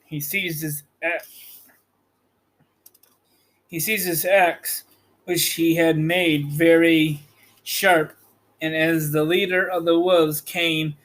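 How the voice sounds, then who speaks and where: A man narrating a storybook aloud as host, in a measured, slightly halting read. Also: on the high side, fairly bright tone. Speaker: host reading an audiobook chapter.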